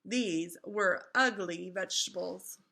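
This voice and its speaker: A woman reading a children's storybook aloud in an expressive, instructive way, like a teacher engaging young listeners. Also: on the low side, slow, vocal fry.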